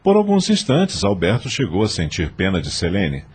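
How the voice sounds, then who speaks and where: A man's voice: low-pitched, huge pitch swings, moderate pace, a little hiss or hum. A man with a Brazilian accent narrating an audio novel as scripted, dramatic storytelling.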